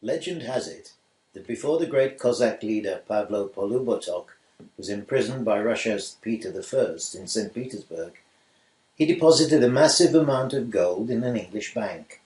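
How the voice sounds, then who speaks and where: A man giving measured, scripted narration for a radio play. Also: fairly low pitch, animated, a little mellow, lightly acted. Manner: measured storytelling. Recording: roomy room echo.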